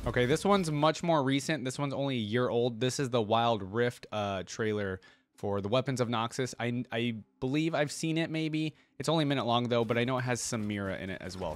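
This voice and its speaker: A man's voice: mid-range voice, a little hiss or hum. A man hosting a reaction video, commenting casually in a laid-back, slangy way as he thinks aloud.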